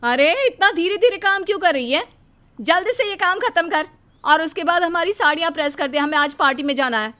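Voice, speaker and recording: A woman plays a nagging daughter-in-law in a cartoon who scolds impatiently. The voice sits high. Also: expressive, a little fast, pushed and bright, full character voice.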